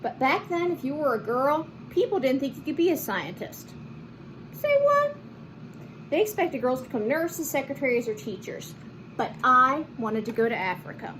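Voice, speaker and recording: A woman reading a picture book aloud to children in an expressive, animated manner, with chatty asides and simple explanations. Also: sits high, moderate pace, slight background noise, poor audio. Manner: animated, acts out lines and explains words to children. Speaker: children's read-aloud host.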